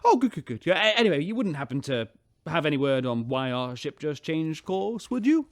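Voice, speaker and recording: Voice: medium pitch, sing-song, a little fast. An actor playing a flustered, nervous ship captain who hesitates and stammers.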